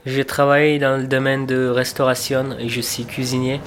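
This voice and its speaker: A man's voice: medium pitch, level tone, a little hiss or hum. Young man, reflective cook telling his story. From Afghanistan. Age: 20s. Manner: reflective, unhurried, with searching pauses and restarts. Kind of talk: personal storytelling for a documentary portrait.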